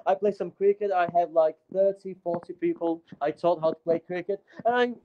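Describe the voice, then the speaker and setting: Casting: Brazilian caller, Portuguese speaker, slightly high voice, playful storyteller on a video call. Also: male voice, fairly even intonation, a little fast, muffled recording. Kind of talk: casual call-in chat.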